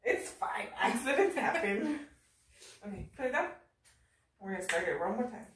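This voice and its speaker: A woman's voice: fairly high pitch, expressive, moderate pace, background noise. A young woman with a Mexican American accent, chatting and giggling while she makes drinks for her vlog, slipping into Spanish now and then. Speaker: vlog host. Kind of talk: casual cooking chat. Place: home kitchen, indoors. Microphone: camera across the room. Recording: echoey kitchen, from far off.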